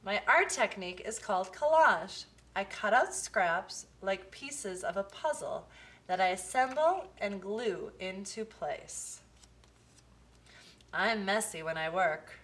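A woman reading a children's picture book aloud, with expressive, deliberate phrasing. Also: mid-range voice. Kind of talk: storybook read-aloud for children.

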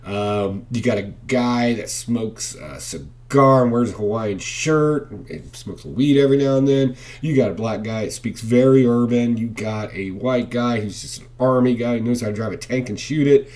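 A man's voice: mid-range voice, moderate pace, hardly any pauses, a little mellow. A man in his thirties casually reviewing comic books at home to a webcam, enthusiastic and blunt, with frequent fillers. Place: living room at home, indoors. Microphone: webcam facing him at close range.

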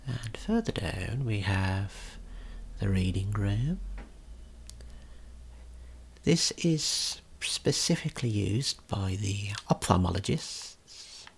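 A man giving a guided ASMR tour in a soft, breathy whisper, gently explaining without hurry. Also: fairly low pitch, expressive, slow, pauses often, a little hiss or hum.

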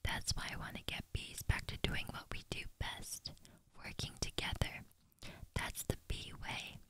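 A woman's voice: measured pace, close to an everyday voice. A woman whispering the part of an earnest young male bee giving a rallying speech. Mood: rousing.

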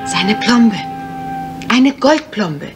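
An actress playing an anxious, genteel young guesthouse owner in a German radio crime drama. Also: female voice, mid-range voice, animated, very slow, music in the background. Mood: uneasy, insistent.

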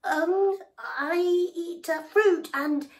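A woman's voice: very high-pitched, expressive, extremely slow, pitched above the performer's own voice, exaggerated voice. Woman putting on a timid stammering little elephant. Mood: startled, hesitant. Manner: hesitant, stammering. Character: timid little male elephant, easily startled.

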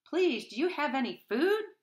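Excitable young fairy-tale boy played by a woman, raised pitch, eager exclamations, roomy. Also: normal speed. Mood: excited.

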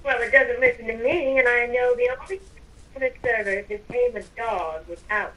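A woman's voice: a little high, fairly quick, a bit bright, poor audio. A woman improvising in-character roleplay during a video game, with theatrical, stiffly formal phrasing. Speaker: Minecraft roleplay player.

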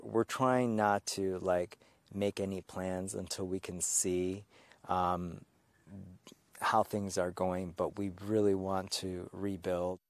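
A man's voice: low-pitched, little pitch movement, very slow, no echo. A middle-aged man with an American accent speaking spontaneously in an outdoor news interview. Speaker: winery owner being interviewed. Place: outdoors in a vineyard.

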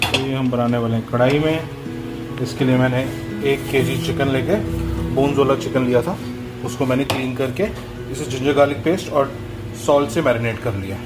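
A man's voice: mid-range voice, fairly even intonation, fairly quick. A man walking viewers through a home cooking recipe in a casual, chatty way, mixing in English phrases and calling them guys. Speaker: cooking channel host. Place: home kitchen at a gas stove. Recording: echoey kitchen with loud background music. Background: sizzling oil and stirring, music.

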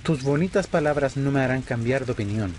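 A man's voice: medium pitch, brisk, darkish tone, natural voice. A threatening, embittered vampire acted by a man speaks with dramatic deliberation. It sounds like an audio drama, with faint noise behind the voice. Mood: cold, threatening. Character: cold, threatening male vampire, embittered former friend. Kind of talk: amateur Spanish audio roleplay drama.

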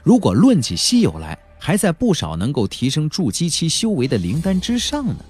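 A man narrating a fantasy novel as a dramatic audiobook storyteller, theatrical, with drawn-out emphasis and colloquial touches. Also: a little high, huge pitch swings, a little mellow, slightly rough, soft background music.

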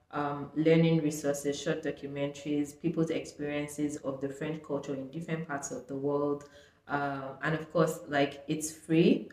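A young woman talks casually to camera, filling her sentences with "like" and "um". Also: sits low. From Nigeria. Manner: chatty, sprinkled with "like" and "um". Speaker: vlogger. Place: small bright room indoors. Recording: echoey room.

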